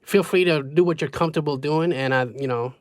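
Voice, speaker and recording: A man from the United States hosting a podcast, relaxed and laughing easily as he asks open questions. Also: fairly high pitch, brisk, no echo, studio quality. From United States (Seattle). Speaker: podcast host. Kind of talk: casual interview chat.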